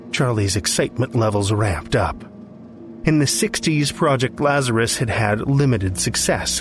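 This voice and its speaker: A man narrating scripted fiction for a podcast as its storyteller. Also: medium pitch. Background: music.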